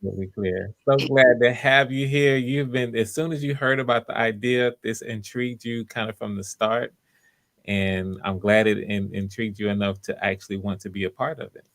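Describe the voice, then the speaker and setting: A man's voice: lowish voice, steady tone, moderate pace, a bit bright. A man hosting live auditions in a casual, encouraging and reassuring way. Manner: supportive, reassuring. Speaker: host running live auditions.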